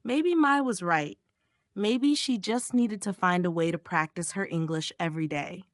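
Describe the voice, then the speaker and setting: A woman narrating a beginner-level graded reader in clear, simple, measured storytelling. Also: lowish voice, no room sound, clean studio sound.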